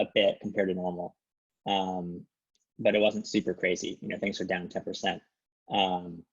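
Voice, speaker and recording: A man's voice: low-pitched, husky. A man presenting an informal market briefing on an investment conference call, with dull, lo-fi call audio.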